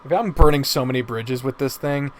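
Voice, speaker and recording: A man with a mid-pitched voice talks as a casual video reviewer over noticeable background noise. He rambles through his opinions and swears freely and often. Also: brisk. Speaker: video host reviewing visual novels.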